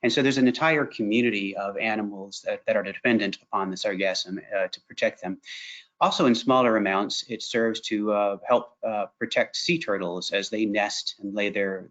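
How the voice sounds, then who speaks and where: A man, a scientist answering audience questions on a video call, speaking in a measured, hesitant way with many uhs. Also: on the low side, steady tone, fairly bright tone. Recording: dull lo-fi video call audio.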